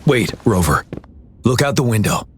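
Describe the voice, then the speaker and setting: A man playing a calm, solemn young general in a video game, speaking in a measured, grave, formal way. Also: mid-range voice, a little hiss or hum, natural voice. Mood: alert. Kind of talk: scripted video game dialogue.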